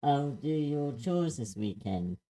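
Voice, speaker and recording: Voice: mid-range voice, animated, slow pace, dark tone. An actor reading a timid, earnest young creature schoolgirl in a screenplay table read, with a subdued, hesitant manner. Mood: pleading.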